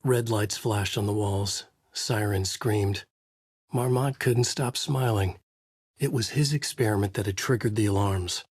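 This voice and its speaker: An actor playing a brooding, haunted male writer, narrating a noir horror thriller in a measured, grave, dramatic way with a husky voice. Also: lowish voice, a little mellow, no room sound, studio quality. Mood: brooding narration.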